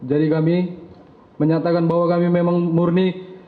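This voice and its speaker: A man's voice: a little high, level tone, moderate pace. A male police officer from North Sumatra, formally introducing himself in a stiff, dutiful way. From North Sumatra, Indonesia. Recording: phone-like audio with room echo.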